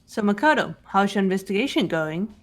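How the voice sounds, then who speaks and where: An amateur actress voicing a composed, analytical young female detective in a visual novel game, measured and matter-of-fact. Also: mid-range voice, expressive, faint music behind, lightly acted. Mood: cool, analytical. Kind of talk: fan-dubbed visual novel game acting.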